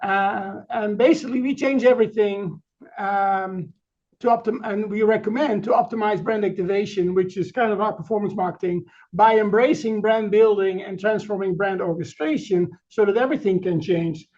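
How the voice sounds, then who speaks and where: A middle-aged man from the Netherlands with a Dutch accent, giving a webinar presentation in a halting way, full of fillers and restarts. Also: high voice, a little mellow, dull audio. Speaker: chief marketing officer presenting.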